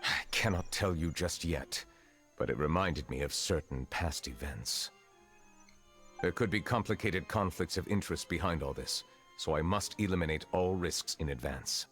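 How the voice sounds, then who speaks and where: An actor playing a reserved, centuries-old chief justice in a fantasy video game, speaking in measured, formal phrases. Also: male voice, low voice, fairly bright tone, soft background music. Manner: measured, formal, stately diction.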